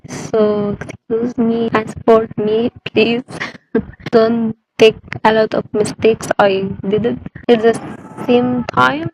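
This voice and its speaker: A young Egyptian woman in her twenties, a native Arabic speaker, talks to camera in a vlog, choosing simple words with care. Her English carries a clear Egyptian Arabic accent, her voice sits a little high, and she speaks very slowly. Faint background noise runs under the recording. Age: 20s. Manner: slow, careful learner's English with simple phrasing. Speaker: language-learning vlogger. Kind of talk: casual vlog talk to camera.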